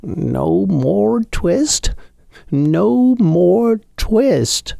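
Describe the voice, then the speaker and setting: Man playing an old tailor, fretful, mumbling, raised and husky voice, slow lament. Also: mid-range voice, sing-song, a little mellow, dry recording, studio quality, pitched above the performer's own voice, exaggerated voice. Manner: anxious, slow lamenting mutter.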